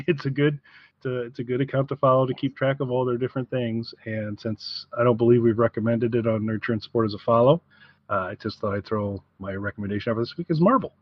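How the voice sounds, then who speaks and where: A man co-hosting a casual review podcast, talking haltingly as he repeats and rephrases his way through each point. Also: mid-range voice, fairly even intonation, no room sound. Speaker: podcast co-host. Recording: dry, slightly dull podcast audio.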